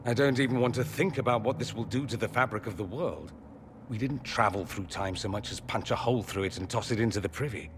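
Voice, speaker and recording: A man's voice: lowish voice, quick pace, long reverb tail, background noise, close to an everyday voice. An actor playing a witty, flamboyant male mage in a video game, with a wry, theatrical and articulate delivery. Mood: sardonic, breezy. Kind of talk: video game character acting.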